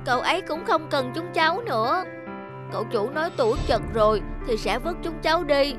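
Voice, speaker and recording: A woman's voice: sits high, animated, a little fast. A woman voicing a hurt, childlike talking shoe in a cartoon, plaintive and reproachful. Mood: tearful. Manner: plaintive, emotive. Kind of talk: cartoon dubbing. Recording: music behind the voice.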